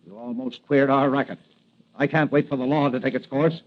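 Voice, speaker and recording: An actor playing a smug, menacing racket boss in an old-time radio crime drama. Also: male voice, medium pitch, fairly even intonation, fairly quick, vocal fry. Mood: cold menace. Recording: dull lo-fi vintage radio recording.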